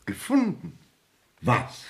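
Slow, stately, stern court official. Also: male voice, fairly high pitch, huge pitch swings, exaggerated voice. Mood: grave, questioning. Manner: stately, formal, declamatory. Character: stern, formal visiting court official, dignified and exacting. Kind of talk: 1970s radio drama acting.

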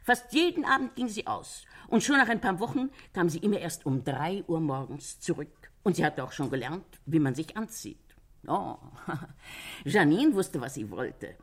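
A woman playing a talkative older Parisian landlady in a German radio crime drama, gossipy but sympathetic, chatting and retelling with a husky voice. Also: mid-range voice, very animated, moderate pace. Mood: gossipy, reflective. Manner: chatty storytelling, quotes others animatedly.

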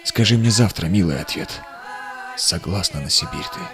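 A man's voice: low-pitched, animated, normal speed, over music. A man playing a devoted young Cossack suitor in a theatrical audio drama, declaiming in verse. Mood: earnest.

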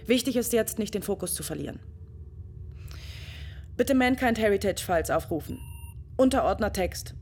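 A woman's voice: mid-range voice, expressive, moderate pace, pauses often, a little mellow, soft background music, close to an everyday voice. An actress playing a female astronaut on a lonely space mission, composed and focused in a radio drama. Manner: focused and composed, sometimes shaken. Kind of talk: German radio drama acting.

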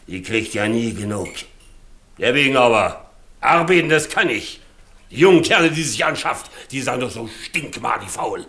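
Gruff, sharp-voiced older farmhand. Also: male voice, fairly low pitch. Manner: gruff, grumbling, rustic. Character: embittered aging farmhand, coachman, indignant and blunt. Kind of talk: naturalistic radio drama acting.

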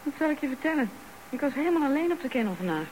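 A woman's voice: high voice, very animated, rapid-fire, darkish tone, low-quality recording. An actress playing a candid, blunt wife in her thirties in a radio drama. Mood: matter-of-fact, grumbling. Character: younger wife of thirty-five, candid and indignant. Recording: background noise on radio drama recording.

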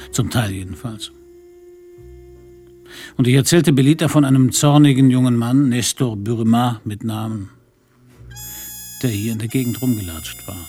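An actor playing a cynical, middle-aged private detective in a German radio crime drama, wry and laconic. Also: male voice, mid-range voice, darkish tone, natural voice. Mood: reflective. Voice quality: husky, gravelly.

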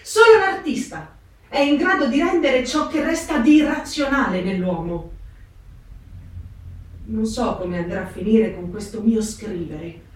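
An actress playing a restless, introspective young woman diarist in a reflective, measured dramatic stage reading. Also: fairly high pitch, animated. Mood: pensive, searching. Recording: echoey room, distant mic, music behind. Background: plucked guitar music.

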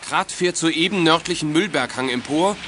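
An actor playing a brisk, authoritative policeman in a 1980s youth audio drama, speaking in a clipped official way. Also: a little high, natural voice. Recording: slightly noisy old audio drama recording.

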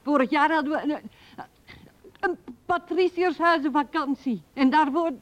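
A woman's voice: high-pitched, measured pace. An actress playing an earnest young woman who longs to be loved, speaking insistently and plaintively in a radio drama. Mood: exasperated. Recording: dull 1970s radio recording.

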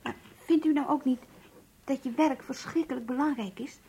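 A woman playing an earnest, lovestruck young girl in a radio play, emotional and yearning, with a breathy, husky voice. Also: high voice, moderate pace, dark tone, slight background noise. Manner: emotional, yearning, polite and shy. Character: lovestruck, earnest young schoolgirl graduate reading her diary. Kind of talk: Dutch radio play acting, diary narration and dialogue.

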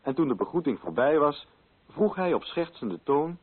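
A man narrating a literary radio play from a script, with a husky voice. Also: on the high side, sing-song, normal speed. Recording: thin, phone-like old radio recording.

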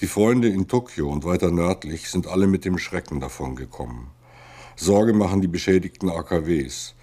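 A man's voice: low-pitched, dark tone. A man voicing a sober, critical observer of a disaster in a scripted radio monologue.

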